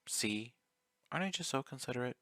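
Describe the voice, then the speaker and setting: A man playing an obsessive, clingy male yandere fan in an ASMR roleplay, speaking intimately and coaxingly, courteous even while threatening. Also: mid-range voice, a little fast, no room sound, clean studio sound. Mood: politely menacing. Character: obsessive, clingy, soft-spoken young male yandere fan, politely menacing.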